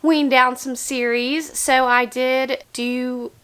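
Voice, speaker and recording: A young woman talks through her month of reading in a candid, chatty way. Her voice sits a bit high, and a cold leaves her slightly nasal. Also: slow, clean tone. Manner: chatty, candid, animated opener. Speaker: book vlogger. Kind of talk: casual chat about books.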